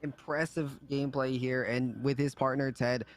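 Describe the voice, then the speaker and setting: A man's voice: medium pitch, fairly even intonation, normal speed. A man commentating an esports tournament on a livestream in a casual, appreciative way, often repeating his phrases. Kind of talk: casual live game commentary and sign-off.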